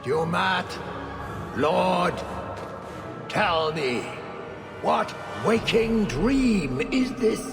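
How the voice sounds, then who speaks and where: An actor playing an ancient monstrous magister in a fantasy game, grandiose and imperious, with archaic, commanding speech. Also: male voice, high-pitched, animated, very slow, creaky, pauses often, full character voice. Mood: anguished, pleading. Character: ancient monstrous magister waking, imperious, bewildered, fanatically devout. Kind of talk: theatrical fantasy game acting. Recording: echoing cavernous reverb, loud music behind.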